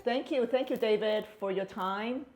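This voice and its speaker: A woman's voice: medium pitch, moderate pace, over loud music. A woman interviewing a client in an office, asking encouraging questions and often repeating words. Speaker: interviewer for consultancy. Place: consulting firm office.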